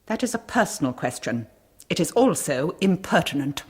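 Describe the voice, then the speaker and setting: A woman's voice: deep voice, big pitch swings, moderate pace, a little mellow, slightly rough, heavily acted. A woman playing a prim, haughty Victorian governess in a radio drama, with an upper-class English accent and formal, reproving delivery. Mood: stern. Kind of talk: 1990s radio drama acting.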